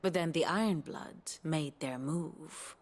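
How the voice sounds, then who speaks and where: An actress playing a learned, wry and poised woman counsellor in a fantasy video game, lecturing in a measured, erudite way. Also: sits low. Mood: measured, scholarly. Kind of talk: expository fantasy game acting. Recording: loud music under the voice. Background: loud music.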